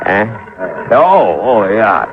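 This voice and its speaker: An actor playing a cocky, dismissive high-rolling gambler with a breezy, slangy delivery in old-time radio style. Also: male voice, big pitch swings, extremely slow, full character voice. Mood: cocky, taunting. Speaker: actor in a radio detective drama. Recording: tinny, noisy old radio recording.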